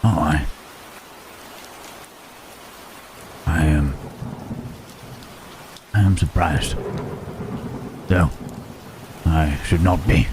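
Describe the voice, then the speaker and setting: Gentle lonely creature played by a man, very low voice, slow halting delivery, ASMR roleplay, rain and thunder behind. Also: noisy background. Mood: wistful, gentle. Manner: halting, hushed, careful speech with long pauses. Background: rain and thunderstorm ambience.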